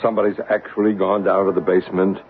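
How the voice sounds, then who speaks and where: A calm paranormal investigator who questions frightened people in a measured voice. His voice sits low and comes through a thin, phone-like 1970s broadcast with faint hiss behind it. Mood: probing. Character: calm male parasensory investigator, adult. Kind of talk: 1970s radio drama acting.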